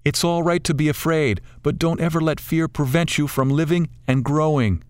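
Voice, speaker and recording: A man voicing a wise old female talking bird who counsels gently and calmly. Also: on the high side, normal speed, clean tone, no room sound, clean studio sound, voice pushed up, close to an everyday voice. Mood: gentle.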